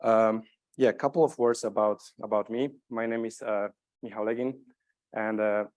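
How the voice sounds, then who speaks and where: A man's voice: lowish voice, steady tone, moderate pace, a little mellow. A man from Poland, not a native English speaker, giving a technical conference talk with many fillers and repeated words. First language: Polish.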